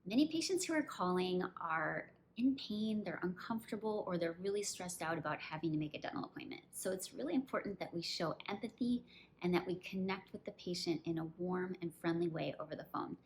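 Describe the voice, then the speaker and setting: A woman with a slightly low-pitched voice presents instructional training as a coach. She explains each point clearly, step by step. There is faint ambient noise in the recording. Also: expressive, fairly quick, low-quality recording. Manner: clear step-by-step explaining, with acted example phrases.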